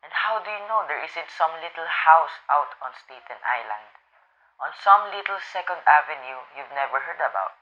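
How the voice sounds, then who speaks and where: A man's voice: fairly high pitch, lively intonation, moderate pace. A young man playing an unconcerned, patronizing police sergeant in an amateur radio drama, dismissive and placating. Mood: skeptical. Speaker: student voice actor in a radio play. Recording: dull lo-fi sound, faint noise.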